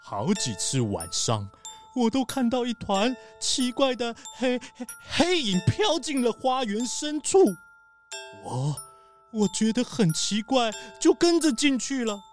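A woman's voice: on the high side, wildly swooping pitch, a little slow, faint music behind. A woman playing a timid male gibbon gardener who hesitates and stammers secretively, in a children's audio drama. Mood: fearful, secretive.

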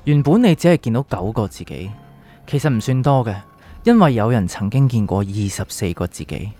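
A man plays a calm, secretive doctor in a Hong Kong Cantonese radio drama. His mellow voice rises and falls widely as he probes and explains in measured, enigmatic phrases, with music underneath. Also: medium pitch. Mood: cryptic, grave. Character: calm, cryptic male doctor with a secret identity.

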